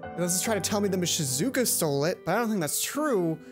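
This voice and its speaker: A woman's voice: fairly low pitch, animated, fast. A woman hosting a let's play, reading a visual novel aloud and dropping in chatty, playful comments. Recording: music behind the voice.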